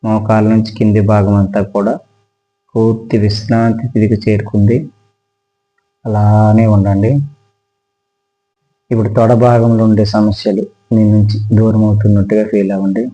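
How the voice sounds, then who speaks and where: Low, even-toned man guiding relaxation. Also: soft background music, low-quality recording. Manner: slow, calm, soothing instruction with pauses. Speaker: yoga instructor. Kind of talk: guided relaxation meditation.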